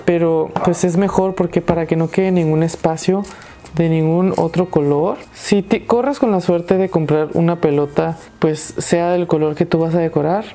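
A woman's voice: on the low side, warm tone, a little hiss or hum. A young woman with a Mexican Spanish accent hosting a craft tutorial, chatting and encouraging viewers as she works. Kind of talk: casual DIY tutorial talk.

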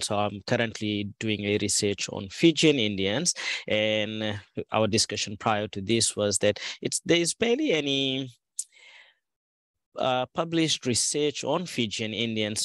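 A middle-aged nursing lecturer from Fiji giving a relaxed webinar presentation over a headset on a video call from an office, with plenty of fillers and restarts. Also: male voice, mid-range voice, no room sound. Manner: relaxed, many fillers, restarts mid-sentence. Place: office with fluorescent ceiling lights.

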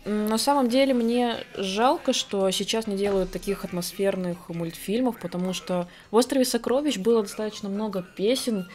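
A woman's voice: a little mellow, faint music behind. A young woman chatting casually to camera as an artist and animation vlogger, recorded on a desk microphone in a home room with computers. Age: young adult. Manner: bubbly, chatty, pitch jumps up often, quick asides and laughs.

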